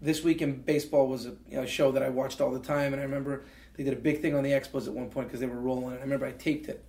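An earnest man in his forties tells his story with plenty of ums and restarts. His voice sits at an ordinary middle pitch. The room around him rings with a roomy echo. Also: level tone, rapid-fire, vocal fry, warm tone. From Canada. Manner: earnest, many fillers and restarts. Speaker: interview guest. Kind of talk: reflective storytelling.

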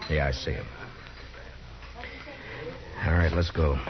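An actor playing a calm, stern frontier marshal who talks in a steady, measured way, in a 1950s radio drama. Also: male voice, extremely low pitch, heavy background noise. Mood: firm. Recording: lo-fi 1950s radio broadcast with music.

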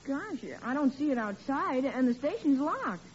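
A woman's voice: high-pitched, big pitch swings, normal speed, a little hiss or hum. An actress voicing a cheeky young boy who needles his father, in 1940s radio acting style, on an old lo-fi recording.

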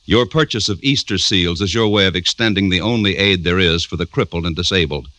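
A man's voice: low voice, fairly quick, sharp tone, no echo. A man announcing from a script in 1950s radio style, formal, emphatic and polished.

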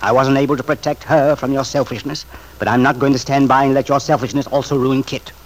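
Principled, reproachful uncle, played by a man, measured delivery, medium pitch, classic radio drama. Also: steady tone, close to an everyday voice, poor audio. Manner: earnest, measured, moralizing. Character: earnest, principled bachelor uncle, reproachful but restrained. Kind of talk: old-time radio drama acting.

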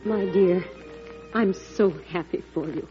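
An actress with an American accent playing a devoted, proud and tender president's wife in an old-time radio drama. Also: female voice, fairly low pitch, slow pace, over loud music. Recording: dull, noisy vintage radio recording.

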